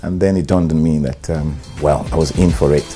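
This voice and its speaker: A middle-aged Nigerian man, an actor, talking in a sit-down interview, relaxed and hesitant, with many "um"s and "you know"s. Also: very deep voice, brisk, over music. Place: bright studio room, indoors. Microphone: lapel.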